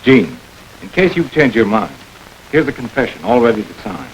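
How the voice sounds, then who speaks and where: An actor playing a firm, businesslike district attorney in a 1940s radio drama. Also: male voice, mid-range voice, big pitch swings, close to an everyday voice, rough recording. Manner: measured, businesslike. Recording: hissy old radio recording.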